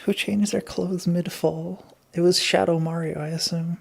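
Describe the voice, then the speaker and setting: A man with a gravelly voice, co-commentating on a video game in casual, sometimes sweary chat. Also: on the high side, fairly even intonation, normal speed.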